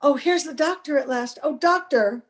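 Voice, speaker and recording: Brief: haunted young female witness, acted by a woman, high pitch, pleading, melodramatic radio-horror delivery. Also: normal speed, close to an everyday voice. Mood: pleading, anxious. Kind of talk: old-time radio horror acting. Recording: home video-call recording.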